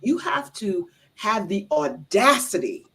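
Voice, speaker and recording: A woman with an American accent talks as a show host in a mid-pitched voice. Her delivery is conversational and affirming, and she doubles up phrases for emphasis. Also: sing-song, slow, pushed and bright, slightly rough. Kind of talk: casual conversational interview.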